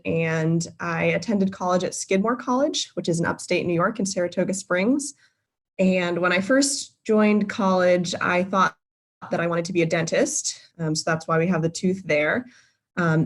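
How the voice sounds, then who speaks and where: Young woman in her twenties, lower voice, casual guest talk full of fillers. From Boston, Massachusetts. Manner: friendly, many filler ums and uhs, self-correcting. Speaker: guest speaker. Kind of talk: casual presentation to a live virtual audience. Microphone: video call.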